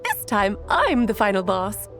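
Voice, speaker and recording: A woman's voice: medium pitch, sing-song, unhurried, fairly bright tone, soft background music. A woman voicing a playful, eccentric, theatrical adult witch in a video game, with a whimsical manner. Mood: mock grandiose.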